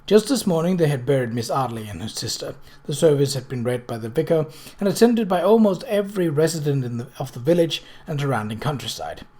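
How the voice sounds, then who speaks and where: Male narrator for storybook prose, voice pitched a little high. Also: expressive, fairly quick, darkish tone. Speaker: audiobook reader.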